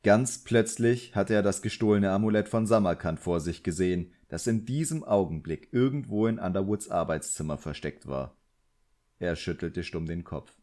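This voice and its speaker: A man's voice: low-pitched, darkish tone. A man narrating a scripted story as an audiobook reader.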